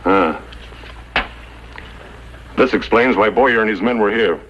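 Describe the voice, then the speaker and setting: Authoritative cavalry major, low deliberate voice, radio western, muffled recording. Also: male voice, fairly bright tone, slight background noise. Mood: composed. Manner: commanding, deliberate. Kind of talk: 1950s radio western acting.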